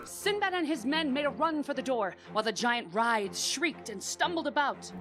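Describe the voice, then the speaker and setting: Woman acting as a tale teller, animated dramatic storybook narration, measured, soft music under. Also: high-pitched. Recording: faint music behind voice.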